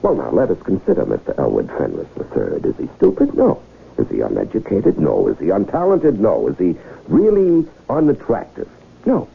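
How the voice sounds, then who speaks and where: An actor playing a smooth-talking, goading male swindler in 1970s radio drama style, rhetorical and needling, with dramatic pauses. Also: low voice, huge pitch swings, full character voice. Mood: mocking, lecturing. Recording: muffled 1970s radio broadcast recording.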